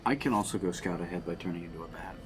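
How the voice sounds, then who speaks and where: Man, lowish pitch, casual game-player chat, faint background noise. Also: very fast.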